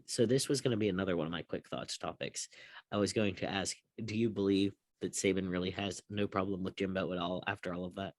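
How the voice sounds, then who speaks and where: A man's voice: low voice, steady tone, quick pace, dry recording. A man chatting informally as a sports podcast panelist, self-deprecating and peppering his talk with filler words. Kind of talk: casual chat.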